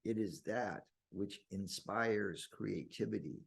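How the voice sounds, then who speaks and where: A man leading a guided meditation in slow, deliberate phrases with long pauses and a husky, gravelly voice. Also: lowish voice.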